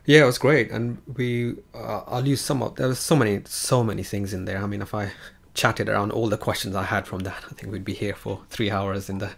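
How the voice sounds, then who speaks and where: A man with a low, gravelly voice hosts a live-streamed chat. He stops and restarts often and fills his pauses with "uh" and "um" as he speaks. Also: fairly even intonation. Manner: hesitant, many fillers and restarts. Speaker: livestream host.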